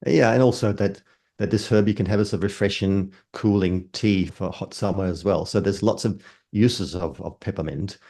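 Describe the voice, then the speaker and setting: Older herbalist, very low, dark gravelly tone, measured explainer. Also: male voice. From Denmark. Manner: measured, explains terms step by step. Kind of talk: explanatory interview answers.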